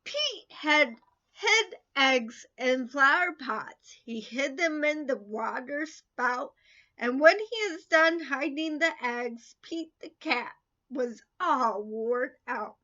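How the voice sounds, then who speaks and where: A woman reading a children's picture book aloud in an expressive, sing-song way. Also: sits high, takes it slowly, muffled recording. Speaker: storybook reader.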